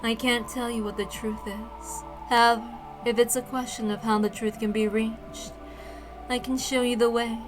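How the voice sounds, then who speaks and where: A woman voicing a calm, aloof female witch who speaks softly and measuredly. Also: fairly high pitch, over music, voice pushed down, natural voice, low-quality recording. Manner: soft, measured, quietly lecturing.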